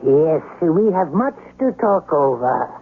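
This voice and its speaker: An actor playing a learned older doctor in a 1970s radio drama, with measured, formal, theatrical speech. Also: male voice, a little high, sing-song, slight background noise, heavily acted. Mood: genial, polite. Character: grave older learned doctor, cautioning friend. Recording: muffled vintage radio broadcast.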